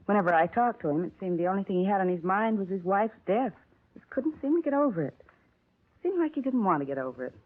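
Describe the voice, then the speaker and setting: A woman's voice: medium pitch, animated, fast talker, natural voice. Casting: a woman as a gossipy, talkative radio-drama landlady, tinny old broadcast sound. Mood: chatty. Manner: chatty, rambling and gossipy. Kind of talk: 1950s radio drama acting.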